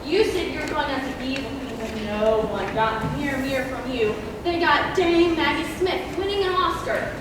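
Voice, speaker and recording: A woman's voice: sits high, normal speed, few pauses, natural voice. A young woman playing a vain, self-pitying ageing actress in a school stage play. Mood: wounded, self-pitying. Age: teens. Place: indoor theatre stage at a live performance. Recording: echoey noisy auditorium, distant microphone.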